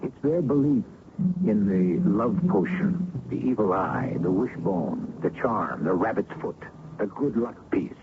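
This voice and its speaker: An actor as an ominous, philosophical host, giving deliberate dramatic narration for a radio mystery. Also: male voice, fairly low pitch, animated. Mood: brooding, ominous. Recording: muffled, noisy old radio recording.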